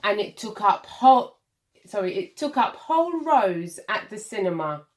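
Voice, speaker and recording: A woman reading a children's picture book aloud like a primary school teacher, expressively, with deliberate dramatic pauses and emphasis for young listeners. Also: medium pitch, big pitch swings.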